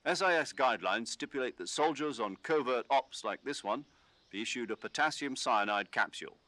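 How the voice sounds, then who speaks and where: A calm commanding officer. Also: male voice, medium pitch, dry recording. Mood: steady. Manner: measured, instructive briefing tone. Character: calm British male commanding officer on the radio. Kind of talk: game dialogue.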